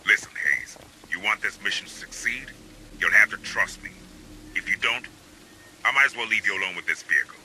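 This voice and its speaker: A man's voice: on the low side, fairly quick, bright, forceful tone, a little hiss or hum, low-quality recording. A man playing a hardened, wry military squad leader in a video game, speaking in a terse, commanding, dry way. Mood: stern. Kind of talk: video game cutscene acting.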